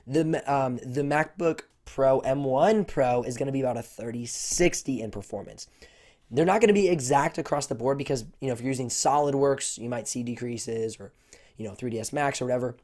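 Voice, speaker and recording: A man with a voice hoarse from a cold, answering viewer questions on a casual livestream, rambling with lots of fillers. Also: medium pitch, fairly quick, darkish tone. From United States. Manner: rambling, many fillers and restarts. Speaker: tech YouTuber hosting a livestream Q&A.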